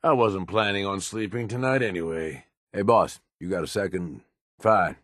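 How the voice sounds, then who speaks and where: A deep, close and dry male voice for a video game: a gruff middle-aged raider who serves as the boss's right hand. He talks bluntly and pragmatically, worn down by years of experience, in loose, profane slang. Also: moderate pace, a bit bright, no room sound. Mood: easygoing, sincere. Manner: blunt, world-weary, drawling slang and profanity. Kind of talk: video game character dialogue.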